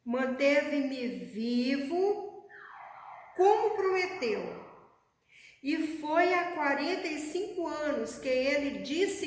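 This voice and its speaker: A woman preaching a sermon in a church, speaking slowly and calling the congregation beloved. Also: high-pitched. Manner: slow, measured preaching, addressing the congregation as beloved. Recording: echoey church hall.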